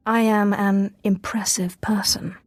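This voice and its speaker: Actress as a female Sith apprentice, mid-pitched, dry sound, clipped, sardonic, confident, game dialogue. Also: flat delivery, a little slow, no room sound, faint music behind, lightly acted. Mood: sly, confident. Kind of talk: scripted video game dialogue.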